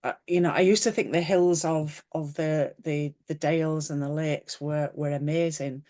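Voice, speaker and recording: A woman's voice: low voice, fairly even intonation, moderate pace, mellow. A woman, an author chatting as a guest on a video call interview, with many fillers and restarts. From Yorkshire, England. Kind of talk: casual conversational interview.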